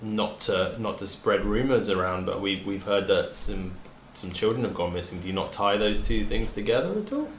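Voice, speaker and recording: A man playing a tabletop game, speaking casually in character in a halting, tentative way. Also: fairly low pitch. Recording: echoey room, faint noise.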